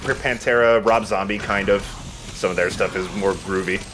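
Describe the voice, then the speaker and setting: A man's voice: lowish voice, steady tone, normal speed, background noise. A man giving casual commentary as he plays a video game and hosts for friends. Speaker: let's play host and player.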